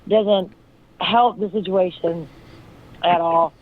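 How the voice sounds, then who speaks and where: A hoarse woman with a raspy voice, a guest talking in a rambling, searching way with many fillers. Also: lowish voice, fairly even intonation, slow. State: hoarse from illness. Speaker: guest, friend of the deceased. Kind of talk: casual interview talk. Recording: tinny phone line.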